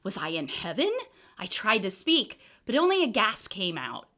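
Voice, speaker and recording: A woman reading a children's book aloud in an expressive, theatrical storytelling style. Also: mid-range voice, normal speed. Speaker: storybook reader.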